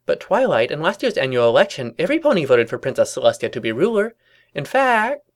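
A performer voicing a bubbly, excitable young female cartoon pony with gushing, exaggerated chatter in a story reading. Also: medium pitch, a little fast, pitched above the performer's own voice, lightly acted. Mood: puzzled, chatty.

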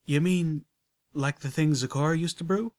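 A man's voice: fairly high pitch, moderate pace, a little mellow, voice pushed up, lightly acted. A man voicing a blunt, flustered country farm mare with a put-on Southern drawl. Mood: alarmed. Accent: Southern US rural (put on). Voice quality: drawling, exasperated.

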